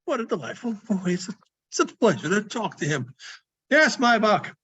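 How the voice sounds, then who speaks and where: A man voicing an excitable, repentant old miser in a storybook reading, exclaiming and stammering as he repeats himself. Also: high voice, normal speed, breathy edge, pitched above the performer's own voice, full character voice. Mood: giddy, excited.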